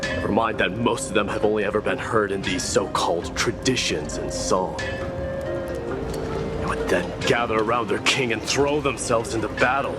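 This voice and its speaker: An actor playing a brooding, reluctant young warrior prince in a video game, speaking in a solemn, intense, measured way. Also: male voice, fairly low pitch, wildly swooping pitch, loud music behind the voice. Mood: brooding, troubled. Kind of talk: dramatic video game voice acting.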